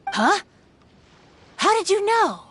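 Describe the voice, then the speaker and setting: A woman's voice: very high-pitched, big pitch swings, takes it slowly, noisy background, heavily acted. A woman voicing a haughty, threatening female yokai spirit in human form for a video game. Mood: taken aback.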